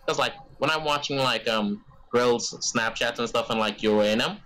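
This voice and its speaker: A young man in a casual podcast chat over a voice call, halting and restarting his words, laughing often. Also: medium pitch, fairly bright tone. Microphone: computer microphone on a voice call.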